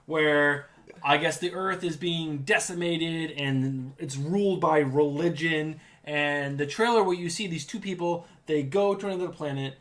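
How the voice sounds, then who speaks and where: A man's voice: fairly high pitch, a little slow, fairly bright tone. Podcast co-host, man, New England accent, eager and rambling, lots of ums and restarts. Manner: enthusiastic, rambling with restarts and fillers. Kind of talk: casual chat.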